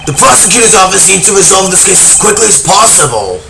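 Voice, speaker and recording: A man playing a genial older chairman in a game fandub, folksy and drawn-out, falsely friendly with menace underneath. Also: sits high, big pitch swings, gravelly, heavily acted, rough recording. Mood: smoothly menacing. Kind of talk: video game fandub dramatic acting. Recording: music behind.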